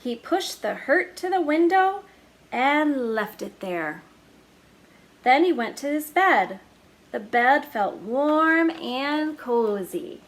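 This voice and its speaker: A woman storyteller reading a children's picture book aloud in a slow, deliberate way. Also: high voice, very animated.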